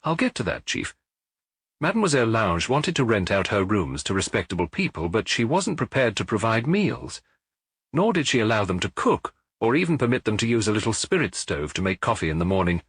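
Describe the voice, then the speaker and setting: A man reading an audiobook, voicing a middle-aged male police officer who gives his report in a measured, matter-of-fact way. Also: fairly low pitch, big pitch swings, a bit bright, slightly rough, no room sound, clean studio sound, full character voice. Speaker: audiobook reader.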